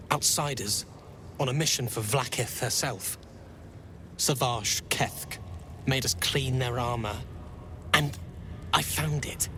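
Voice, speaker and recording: A man's voice: medium pitch, noisy background, lightly acted. Earnest, timid young alien warrior trainee voiced by a man. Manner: hesitant, earnest, halting. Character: earnest, timid young githyanki male trainee, dreamy idealist. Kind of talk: video game voice acting.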